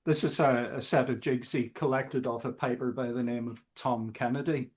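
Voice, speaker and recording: A man talking as a guest musician in a casual interview, halting often with fillers. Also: medium pitch, steady tone. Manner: slow, halting, many fillers.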